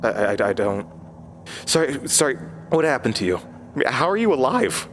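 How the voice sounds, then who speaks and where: A man's voice: medium pitch, very animated, normal speed, fairly bright tone, a little hiss or hum. An actor playing a bewildered, anxious man seeking answers in a horror audio drama, with hesitant, stammering delivery. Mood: stunned.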